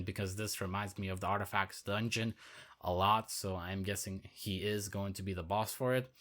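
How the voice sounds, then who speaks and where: A hoarse man who is losing his voice, giving casual, rambling commentary on a game update as a video creator. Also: on the low side, bright, forceful tone. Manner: rambling, filler-heavy, repeats himself.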